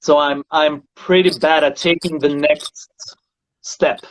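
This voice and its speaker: A male singer hosting a casual chat about voices, speaking thoughtfully and halting often to find his words. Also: fairly high pitch, fairly even intonation, fairly bright tone, poor audio. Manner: thoughtful, halting, repeats words while searching. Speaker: singer and show host. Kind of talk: casual conversation about singing.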